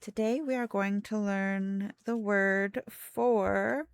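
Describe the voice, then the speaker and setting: Slow-talking woman streamer, playful. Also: mid-range voice, steady tone, clean tone. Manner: chatty, playful, unhurried. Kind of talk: casual livestream chat.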